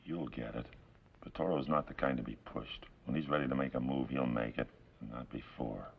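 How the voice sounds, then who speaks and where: A man's voice: rumbling low, steady tone, creaky, breathy edge, exaggerated voice. An actor playing a tough, level-headed outlaw henchman in a 1950s television western, speaking firmly and with authority. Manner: firm, commanding, measured tough talk. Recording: dull, hissy old television soundtrack.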